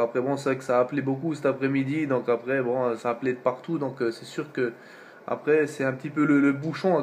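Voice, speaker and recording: A man's voice: medium pitch, a little hiss or hum. A man chatting casually as an amateur radio operator, colloquial and repetitive, with lots of little tag words. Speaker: amateur radio operator filming his contacts. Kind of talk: casual radio contact chat.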